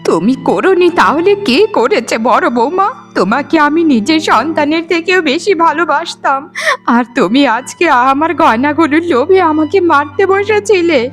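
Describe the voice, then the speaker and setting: A woman's voice: high-pitched, lively intonation, normal speed, soft background music. A woman voicing a frail, trusting elderly mother-in-law in a melodramatic cartoon, with a plaintive delivery. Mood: hurt, accusing. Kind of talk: melodramatic cartoon moral-story voice acting.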